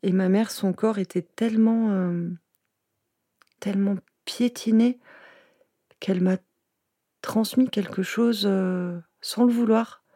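Woman talking softly and hesitantly, kind of flat, dry close sound. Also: mid-range voice, slow, long pauses, no echo. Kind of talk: personal testimony.

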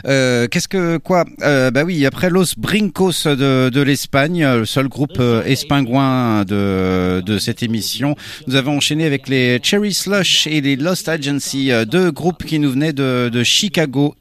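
A man's voice: mid-range voice, animated, a little slow. A man presenting a radio music show in a hesitant, self-mocking way, full of fillers and restarts. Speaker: radio host.

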